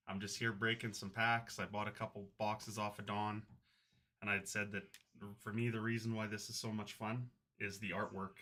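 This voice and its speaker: A man with a loud voice, chatting casually as he opens trading card packs on a live stream. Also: fairly low pitch. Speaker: card collector live-streaming pack openings.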